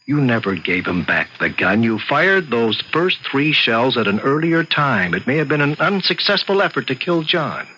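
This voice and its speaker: An actor playing an insistent, accusing doctor in an old-time radio mystery, deliberate, probing and earnest. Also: male voice, mid-range voice, big pitch swings, bright, forceful tone. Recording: dull, slightly noisy radio-drama recording.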